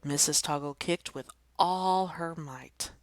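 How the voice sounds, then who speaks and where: Brief: woman narrating a picture book, clear and expressive, dry close sound. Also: low-pitched, slow pace, no room sound. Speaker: storybook reader. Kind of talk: children's picture book read-aloud.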